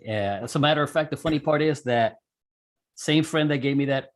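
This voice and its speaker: A man's voice: fairly high pitch, steady tone, brisk. Casual storytelling man from Puerto Rico. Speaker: interview guest, amateur radio operator and skydiver.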